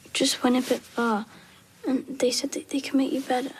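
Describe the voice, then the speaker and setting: A gentle woman speaks in a consoling way, as an actress plays her. Her voice is high and stays on an even level. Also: a little fast, slight background noise, close to an everyday voice.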